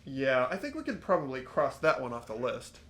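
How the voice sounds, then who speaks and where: A man's voice: mid-range voice, wildly swooping pitch, a little fast, a bit bright, soft background music. A man voicing an earnest, curious and unsure teenage schoolboy in a fan-voiced mystery game, questioning and thinking aloud. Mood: puzzled, curious. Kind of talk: fan voice-over of a mystery visual novel.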